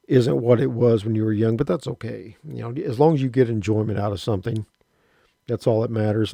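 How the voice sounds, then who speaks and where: A man in his late fifties telling a rambling, halting personal story about his radio hobby, often restarting his sentences. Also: medium pitch, dark tone, no room sound. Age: 50s. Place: rural home.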